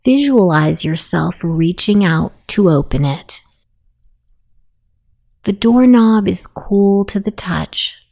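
Lady doing a guided meditation, super slow and kinda low, hushed and soothing. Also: gravelly. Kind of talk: guided meditation, self-hypnosis narration.

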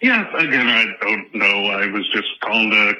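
A man's voice: fairly low pitch, expressive, moderate pace, sharp tone, rough recording. A young man from Texas leaving a rambling, hesitant voicemail over the phone. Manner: rambling, hesitant, full of uhs. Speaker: caller.

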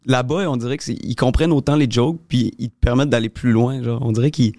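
Gravelly Québécois man, slangy chat. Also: mid-range voice, fairly even intonation, quick pace, mellow, no echo, studio quality. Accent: Québécois French. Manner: laid-back, slangy, hesitant with many fillers. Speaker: guest comedian. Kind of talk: casual chat.

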